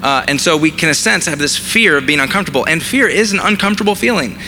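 A man, preaching a sermon with a raspy, hoarse voice as he loses it, mixing jokes about himself into his message. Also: a little high, expressive, fast talker, sharp tone, slight background noise. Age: 30s. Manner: self-deprecating humor, conversational preaching. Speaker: pastor.

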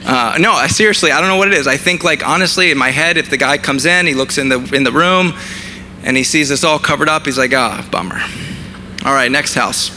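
A man, preaching a sermon with a raspy, hoarse voice as he loses it, mixing jokes about himself into his message. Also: a little high, brisk, bright, forceful tone, a little hiss or hum. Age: 30s. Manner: self-deprecating humor, conversational preaching. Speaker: pastor.

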